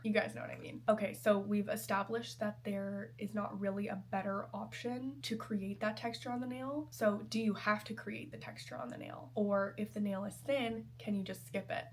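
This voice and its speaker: A woman's voice: medium pitch, slight background noise. A young woman, a nail technician, explaining step by step in a friendly way while sick and a little nasal. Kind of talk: explanatory tutorial talk.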